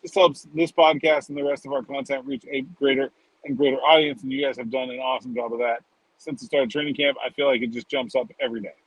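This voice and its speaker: A man's voice: medium pitch, flat delivery, quick pace, slightly rough, dry recording, poor audio. A man chatting casually as a sports reporter and podcast co-host, wandering into conversational asides.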